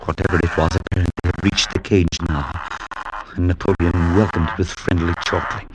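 Actor as a grave clergyman narrator, low voice, old radio. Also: little pitch movement, very noisy, close to an everyday voice. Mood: hushed suspense. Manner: formal, measured, suspenseful storytelling. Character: earnest, rational clergyman recounting a creeping horror. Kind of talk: 1940s radio drama acting and narration. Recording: 1940s radio recording with music behind.

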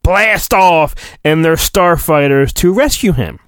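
Animated, slow-paced man, playfully mock-dramatic. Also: on the high side, smooth, no echo, clean studio sound. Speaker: podcast host. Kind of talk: casual, dramatic read-aloud of a children's storybook.

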